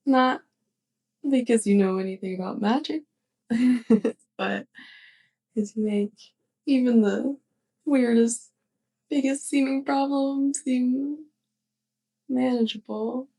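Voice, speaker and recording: A sheepish young witch next door , acted by a woman, speaks softly and slowly in an intimate ASMR roleplay. Her voice is fairly high with a creaky, crackling edge. She talks haltingly and fondly, as if confiding in her neighbor. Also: exaggerated voice. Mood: fond, musing. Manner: hesitant, halting, giggly. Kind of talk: soft ASMR roleplay monologue to the listener.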